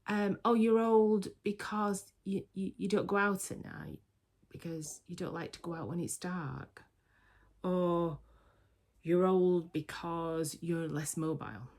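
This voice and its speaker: A woman's voice: fairly low pitch, fairly even intonation, takes it slowly, a little mellow. A woman in her sixties from the UK, chatting casually and reflectively to camera on her vlog, with filler words and restarts. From United Kingdom. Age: 60s. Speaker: vlogger.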